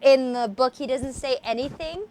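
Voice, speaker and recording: A woman with a theatrical, expressive way of speaking, presenting horror stories as an amateur reader and host. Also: sits high, moderate pace. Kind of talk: dramatic reading, then enthusiastic casual chat.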